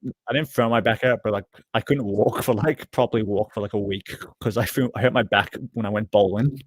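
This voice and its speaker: A man's voice: on the low side, fairly quick, slightly rough, no echo. A young man in his twenties co-hosting a football watchalong, telling rambling stories and correcting himself as he goes. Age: 20s. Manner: rambling, self-correcting storyteller. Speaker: co-host of a live football watchalong. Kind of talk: casual chat.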